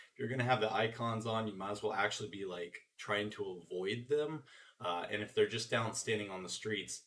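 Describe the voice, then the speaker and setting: A man's voice: lowish voice, far from the mic. A man with a congested, scratchy throat, casually talking through his game modding work on a stream with many fillers. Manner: relaxed, rambling, many uh fillers. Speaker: game modder. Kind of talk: casual screen-share dev stream.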